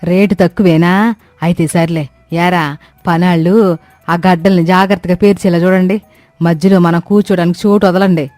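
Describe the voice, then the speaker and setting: A woman's voice: on the low side, steady tone, normal speed, a little mellow, no echo, soft background music, natural voice. A woman voicing a bossy, stingy village mother-in-law in a cartoon story, with a scolding, exclamatory manner. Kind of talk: Telugu comic cartoon story acting.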